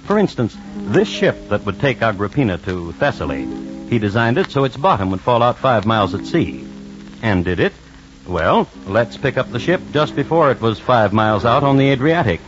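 A man playing a wry, droll narrator of historical crime tales in old-time radio style, deadpan and measured. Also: medium pitch, natural voice. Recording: dull old radio recording, music underneath.

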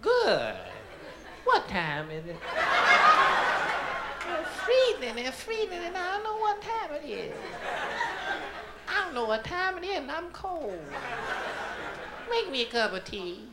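Guy putting on a fussy old grandma, slow and repetitive, live comedy show with echo and a loud crowd. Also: extremely high pitch, huge pitch swings, pitched above the performer's own voice, full character voice. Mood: querulous, demanding. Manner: repetitive, querulous questioning. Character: cold, fussy, bewildered, scolding old grandmother. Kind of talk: stand-up character routine. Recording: echoey live stage, loud crowd, noisy.

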